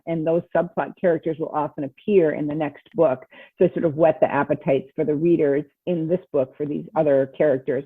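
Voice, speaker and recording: A woman's voice: on the low side, husky, no echo. A middle-aged American woman, a novelist guest chatting casually and emphatically in an interview, often checking in with short tag questions. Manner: chatty, emphatic, peppers talk with "right?" and "you know". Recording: thin, narrow phone-like call audio.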